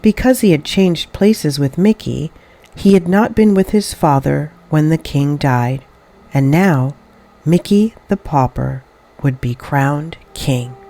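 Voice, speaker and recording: A woman reading a children's story aloud as an expressive storybook narrator. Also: low voice, takes it slowly. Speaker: storybook reader.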